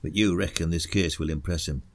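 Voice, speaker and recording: A man playing a shrewd, gruff retired detective with a British accent in an audio drama, probing in colloquial speech. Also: sits low, fairly even intonation, brisk, no room sound. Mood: wry, reflective. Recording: music behind the voice.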